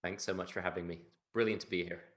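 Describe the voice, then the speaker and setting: A man's voice: sits low, fairly even intonation, quick pace, fairly bright tone. A man from England with a British accent, speaking emphatically as a guest in an interview, repeating phrases and often checking in with a tag question. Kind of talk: conversational interview talk.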